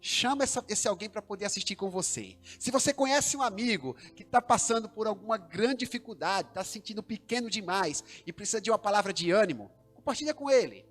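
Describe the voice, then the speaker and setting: A man's voice: high voice, animated, brisk, few pauses, a bit bright, soft background music. A man with a Brazilian Portuguese accent, a pastor hosting a church livestream, speaking formally with rising emphasis. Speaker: pastor and host.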